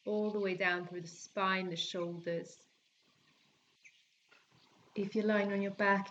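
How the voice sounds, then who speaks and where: A woman teaching yoga nidra, guiding a relaxation meditation in a gentle, soothing, unhurried way with long pauses. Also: lowish voice, dull audio, slight background noise. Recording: birdsong and forest sounds behind voice. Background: calming forest nature sounds with birds.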